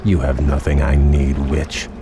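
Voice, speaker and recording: Proud elven prince, deep voice. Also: male voice, completely flat delivery, a little slow, very noisy. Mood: suspicious. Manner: formal, dignified, restrained. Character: proud, noble elven prince and warrior, quietly resentful. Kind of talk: fantasy video game cutscene acting. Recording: music behind. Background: game music.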